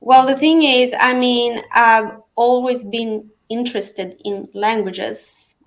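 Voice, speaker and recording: A woman from Sweden, whose first languages are Aramean and Arabic, talking through her path in real estate in a relaxed interview with frequent fillers and restarts. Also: on the high side, takes it slowly. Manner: thoughtful, many fillers and restarts. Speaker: real estate agent, guest. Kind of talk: casual interview conversation.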